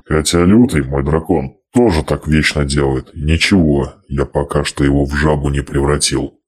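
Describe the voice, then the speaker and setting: A man doing a deep, gruff wizard. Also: moderate pace. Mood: dry. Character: gruff tall male wizard, sardonic but soft-hearted.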